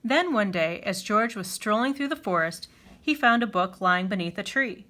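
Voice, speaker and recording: A woman reading a children's picture book aloud in an expressive, clearly phrased storytelling style. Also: mid-range voice, moderate pace.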